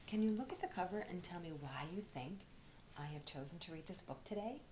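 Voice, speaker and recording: A woman reading aloud to children like a teacher, expressive, often dropping into breathy, hushed storytelling. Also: on the low side, a little fast. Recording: faint background noise.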